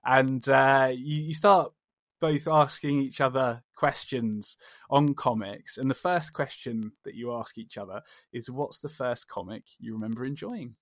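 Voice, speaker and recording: Soft-spoken male podcast host, dry sound. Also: mid-range voice, measured pace, dry recording. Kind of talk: interviewing guests.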